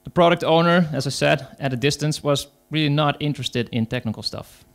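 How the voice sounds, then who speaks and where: A man's voice: on the high side, a little fast. A man with a Dutch accent, speaking English as a second language, gives a conference talk as an agile coach, hesitant, with many fillers. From Netherlands.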